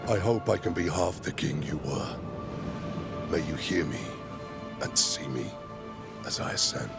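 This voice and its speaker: An actor playing a lonely, brooding prince in a game, solemn and gravelly. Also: male voice, rumbling low, animated, a little slow, exaggerated voice. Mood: sorrowful, reverent. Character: lonely, brooding adult prince burdened by kingship. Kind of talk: dramatic video game acting. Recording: music behind the voice. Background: music.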